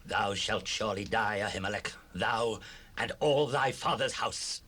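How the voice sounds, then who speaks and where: An actor playing a wrathful, suspicious king in a dramatized Bible recording, husky and imperious. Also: lowish voice, a little slow, pushed and bright, heavily acted. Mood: accusing, condemning. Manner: imperious, declamatory. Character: King Saul, wrathful, suspicious, commanding monarch.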